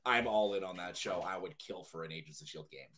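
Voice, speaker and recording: A man's voice: deep voice, brisk, bright, forceful tone. A man talking casually as a guest panelist in a group discussion.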